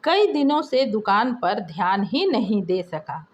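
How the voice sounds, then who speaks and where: A woman's voice: mid-range voice, big pitch swings, unhurried, dull audio. A woman voicing a dutiful young son in a story, earnest and pleading as he talks. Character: dutiful young son, overburdened student shopkeeper.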